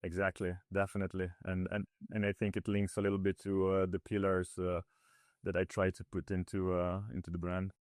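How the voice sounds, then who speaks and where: A man's voice: low-pitched, monotone, brisk, a little mellow, no room sound, studio quality. A man from northern Italy, raised in Switzerland, whose first language is Italian, talking through a casual interview with many fillers and restarts. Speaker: ski builder guest.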